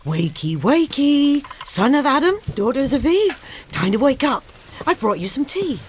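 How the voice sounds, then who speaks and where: A kindly, motherly beaver in a fantasy radio drama. Her voice is fairly high and very lively, cheery and homely, with faint noise behind. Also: measured pace. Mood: cheery, coaxing.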